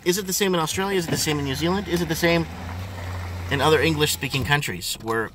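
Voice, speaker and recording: A middle-aged man talks casually to his viewers in a fairly high, bright, forceful voice. He rambles and hammers his questions with animated emphasis. Faint engine and road noise runs behind him inside the car. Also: fast. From Ontario, Canada. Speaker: vlogger.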